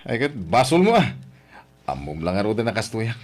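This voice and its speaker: A man's voice: on the low side, sing-song, moderate pace. A man acting a callous young womanizing boyfriend in a radio drama, with a scornful, taunting delivery. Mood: mocking, dismissive.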